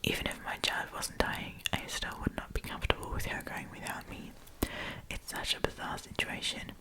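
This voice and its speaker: A woman hosting an ASMR storytime, reading online stories aloud in a soft, breathy whisper. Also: moderate pace. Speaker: host of an ASMR storytime channel.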